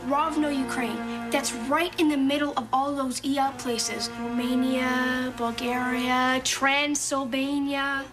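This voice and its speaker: A woman's voice: high voice, fairly even intonation, slow, few pauses. An actress playing an earnest, suspicious young girl in a television drama. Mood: puzzled, suspicious. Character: suspicious, determined young girl convinced neighbors are vampires. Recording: TV clip with prominent music.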